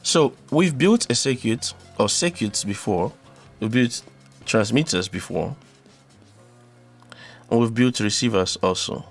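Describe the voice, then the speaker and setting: A man presenting an unscripted electronics tutorial, explaining step by step in a halting way. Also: medium pitch, soft background music. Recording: clearly audible background noise.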